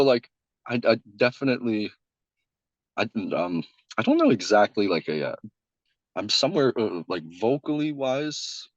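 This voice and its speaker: Young guy with a deep loud voice, rambly podcast guest, dry close sound. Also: dry recording, muffled recording. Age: young adult. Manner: rambling, many restarts and "like". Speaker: singer-songwriter guest. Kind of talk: casual interview chat.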